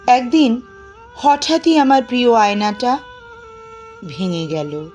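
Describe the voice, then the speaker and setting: A woman giving an expressive, dramatic poetry recitation in a plaintive, emotive manner with drawn-out pauses. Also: on the high side. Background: music.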